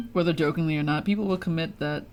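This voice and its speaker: A woman's voice: deep voice, fast talker, a little mellow. A woman giving casual, blunt and sarcastic live commentary as she streams a game.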